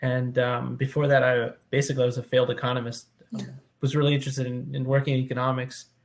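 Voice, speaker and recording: A man speaking as a panelist, plain and self-deprecating. His voice is flat in pitch with a gravelly edge. He speaks over a video call. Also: fast. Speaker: software engineer, alumni panelist. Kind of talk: panel introduction.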